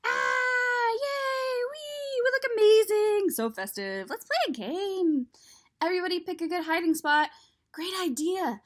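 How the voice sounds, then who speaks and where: A woman voicing playful, excitable festive eggs from a picture book, in a bubbly, exclaiming read-aloud manner. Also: very high-pitched, measured pace, pitched above the performer's own voice. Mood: gleeful. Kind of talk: expressive storybook read-aloud.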